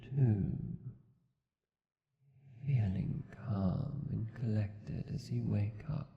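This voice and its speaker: A man with an Australian accent playing a tender, teasing vampire husband in an ASMR roleplay, soothing, coaxing and calmly hypnotic. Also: fairly low pitch, drawn-out words, vocal fry, breathy edge, lo-fi sound, exaggerated voice. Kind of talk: ASMR roleplay, slow intimate scripted acting.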